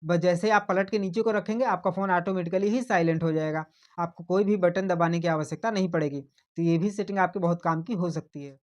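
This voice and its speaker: A man's voice: high voice, steady tone, fairly quick. A man hosting a tech tutorial. He walks patiently through phone settings step by step, repeats his points and keeps pointing things out.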